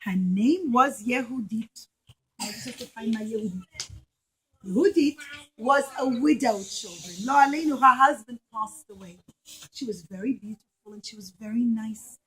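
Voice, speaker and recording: A woman with a high voice tells a story to young children as part of a puppet show. She speaks in simple words with dramatic emphasis, addressing the children directly. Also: normal speed, a bit bright, husky, rough recording.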